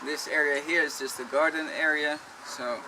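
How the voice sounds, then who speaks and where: A man's voice: on the high side, steady tone, normal speed. A man with a Dutch accent answering viewer questions in a casual vlog, explaining things in a plain, matter-of-fact way from outdoors on his land. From Netherlands. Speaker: vlogger. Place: outdoors on a rural property, wind and traffic. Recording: noisy outdoor recording with wind and traffic. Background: wind and road traffic.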